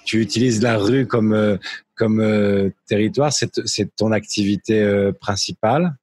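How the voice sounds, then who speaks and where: A man's voice: on the low side, steady tone, a little slow, darkish tone, no room sound. A man hosting a casual interview in an informal, hesitant way, often repeating his phrases as he goes. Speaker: interviewer, host. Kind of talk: casual conversational interview in French.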